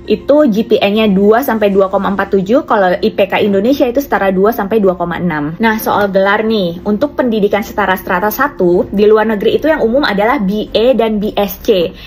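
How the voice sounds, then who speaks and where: A woman calmly explaining a topic point by point in a casual monologue. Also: medium pitch, a little fast, a little hiss or hum. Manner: calm, explanatory, counts points off one by one. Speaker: commentator explaining degree systems. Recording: faint music underneath.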